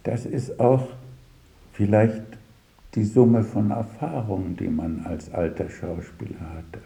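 An older man reflecting on his work as a radio drama director in an interview, pausing and searching for words as he recounts anecdotes. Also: fairly low pitch, vocal fry, warm tone, reverberant, poor audio. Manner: halting, searching for words, pausing mid-sentence.

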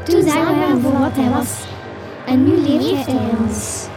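Woman as high, eerie possessed schoolchild. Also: normal speed, natural voice. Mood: trancelike, ominous. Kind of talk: gothic horror radio acting. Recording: loud music under the voice.

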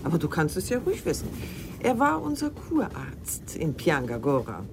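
Casting: a jealous, proud middle-aged woman in radio drama, swooping intonation, pointed delivery, clearly noisy sound. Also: low-pitched, huge pitch swings, moderate pace, exaggerated voice. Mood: defensive, proud. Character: mature woman lover, probing, jealous, sharp-tongued, proud. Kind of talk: German radio drama acting, dialogue.

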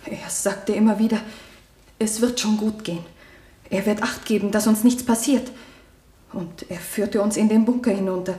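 A woman acting a timid, loyal young daughter on the witness stand in a German radio play, hesitant and soft-spoken. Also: mid-range voice, steady tone, normal speed, natural voice. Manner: hesitant, soft-spoken, simple short answers. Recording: roomy 1970 radio drama studio.

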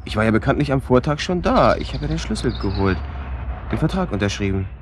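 An actor playing a cocky, sarcastic man in a naturalistic radio drama, talking in an expressive, colloquial way. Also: mid-range voice, fast talker, a little hiss or hum, natural voice. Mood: dawning alarm. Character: cocky, sarcastic man growing suspicious and alarmed.

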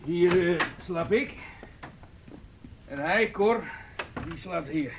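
A man's voice: high-pitched, takes it slowly, muffled recording, background noise. An actor playing an uneasy working-class father, hesitant and defensive, in a radio drama. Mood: nervous, defensive. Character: uneasy, evasive working-class father under police questioning.

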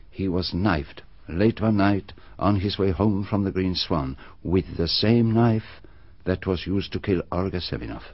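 An actor playing a calm, methodical detective who lays out a murder solution in a measured, deliberate way, in a radio drama. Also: male voice, on the low side, slightly rough, dry recording. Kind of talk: BBC radio drama acting. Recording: muffled dry archive radio recording.

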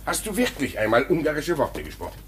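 An actor playing a kindly, patient, learned court official in a German radio drama, avuncular and formally eloquent. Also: male voice, fairly high pitch, huge pitch swings, fast talker. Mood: probing, reassuring. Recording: noisy old radio drama recording.

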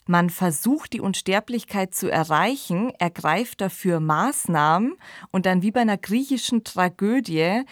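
A woman's voice: on the low side, dry recording, clean studio sound. A woman hosting a podcast, giving conversational, reflective commentary and explaining things. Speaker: podcast host.

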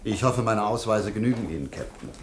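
Radio play doctor, male actor: lowish pitch, probing and polite with quiet authority, hesitant phrasing, noisy recording. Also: expressive, lightly acted. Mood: probing, courteous. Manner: hesitant, deliberate questioning with firm instructions. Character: probing, courteous but firm doctor investigating for the government. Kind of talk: German radio play acting.

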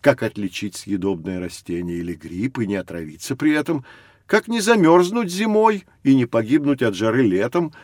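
A man playing a knowledgeable, patient teacher who explains things to children in a measured, expressive storytelling way. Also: mid-range voice, dry recording, clean studio sound, lightly acted. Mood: explanatory. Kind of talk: scripted educational storytelling for children.

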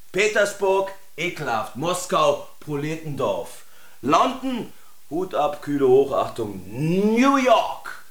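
An actor playing a brash, opinionated city poet, chanting in staccato, declamatory phrases for a radio collage. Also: male voice, a little high, full character voice. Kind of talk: rhythmic spoken-word radio collage over music. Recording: roomy echo under loud music. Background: loud music.

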